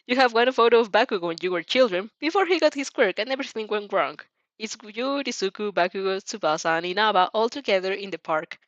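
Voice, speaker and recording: A woman's voice: medium pitch, expressive, fast, a bit bright, no room sound, dull audio. A woman reading fan fiction as an amateur, narrating expressively and sometimes stumbling over or repeating words. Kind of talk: expressive story narration with character voices.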